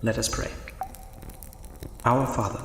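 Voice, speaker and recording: A man's voice: mid-range voice, fairly even intonation, slow pace. A man playing a pious, compassionate old priest in a horror audio drama, speaking earnestly and solemnly with growing alarm. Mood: gentle, coaxing. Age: older. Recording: echoey cavernous reverb, loud background noise.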